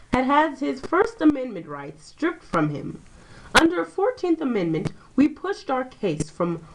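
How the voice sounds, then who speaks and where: A woman's voice: a little high, heavily acted. A young woman playing an earnest, pressing defence lawyer in an amateur puppet show. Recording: noisy amateur recording with clicks.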